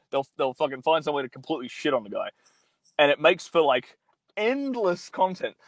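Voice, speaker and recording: A man's voice: a little high, a bit bright, no echo. Young male streamer, phone mic, very dry close sound, rambling and crude. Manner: blunt, profane, rambling with many fillers. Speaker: livestream host reading viewer comments. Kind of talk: casual profane live-stream chat. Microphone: mobile phone.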